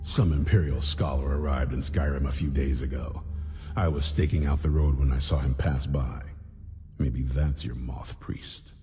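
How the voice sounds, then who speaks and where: An actor playing a stern, hostile vampire-hunter commander in a video game, with a gruff, blunt, threatening delivery. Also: male voice, extremely low pitch, fairly even intonation, normal speed, mellow. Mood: gruff, dismissive. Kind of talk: video game dialogue acting. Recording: hall reverb, music clearly behind.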